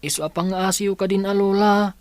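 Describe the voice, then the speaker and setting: A man's voice: sits high, fairly even intonation, brisk, clear, resonant tone, no echo, lightly acted. Man acting an earnest young student grandson. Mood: pleading, troubled. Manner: earnest, pleading. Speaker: voice actor. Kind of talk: radio drama acting.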